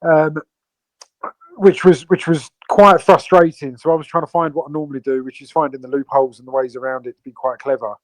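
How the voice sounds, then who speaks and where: A man's voice: fairly high pitch, fairly even intonation, brisk, no room sound, low-quality recording. A man from the UK, co-owner of a crypto project, explaining it at length in an online Q&A with many fillers and restarts. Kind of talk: AMA guest explaining a project.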